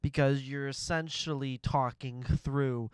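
A man's voice: medium pitch, fairly even intonation, slow, clear, resonant tone, no echo. A man in his thirties talking casually to camera as he demonstrates a synthesizer in a home studio. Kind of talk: casual gear demo talk to camera. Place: small home music studio, indoors.

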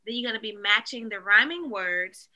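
A woman in an expressive, animated read-aloud style, speaking directly to young children as a teacher would. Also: on the high side, normal speed, muffled recording. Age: adult.

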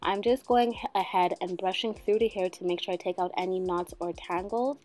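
A young woman in her twenties giving a casual hair tutorial at home, chatty and joking, with a slightly husky voice that she calls a smoker's voice. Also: fairly low pitch, a little fast, a little mellow. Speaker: beauty vlogger. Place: bedroom at home, indoors.

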